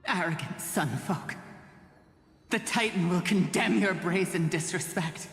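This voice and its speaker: An actress playing a solemn, defiant priestess who speaks for an oppressed people. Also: female voice, a little high. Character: defiant solemn woman rain-folk priestess. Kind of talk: video game dub acting. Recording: echoey hall with soft music.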